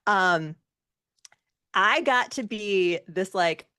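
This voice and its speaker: A woman's voice: medium pitch, very slow, bright, forceful tone, smooth, no echo, studio quality. A woman chatting casually as an interview guest, effusive and informal in the way she talks. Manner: effusive, informal, emphatic about loving the work. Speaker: guest, actor and former rock singer.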